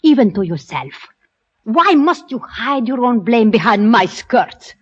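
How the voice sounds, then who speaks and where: Radio drama role: jaded, proud underworld woman, unhurried, scornful speech, lo-fi vintage sound. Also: fairly high pitch, very animated, husky, heavily acted. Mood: scornful, fierce. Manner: declamatory, scornful. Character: sullen, defiant, world-weary woman of the underworld. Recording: dull, lo-fi old radio recording.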